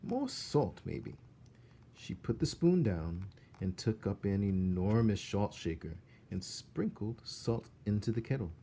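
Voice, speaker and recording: Expressive, unhurried male storybook narrator. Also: on the low side, slight background noise. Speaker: read-aloud narrator. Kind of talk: expressive children's book reading.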